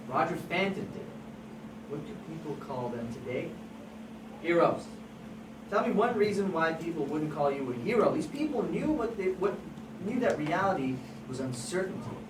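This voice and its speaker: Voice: mid-range voice, lively intonation, normal speed, lightly acted. An amateur actor at a table read playing a scheming, persuasive crime photographer with an intense, emphatic delivery. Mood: insistent, preachy. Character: scheming, persuasive crime photographer who stages fake scenes. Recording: distant, echoey, noisy room recording.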